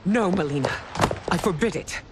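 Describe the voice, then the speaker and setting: A woman playing a proud, commanding empress, animated pitch. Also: normal speed, very noisy, natural voice. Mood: alarmed, forbidding. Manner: formal, regal, imperious. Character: proud, grieving empress and protective mother, stern, commanding. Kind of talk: dramatic video game cutscene acting. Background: music.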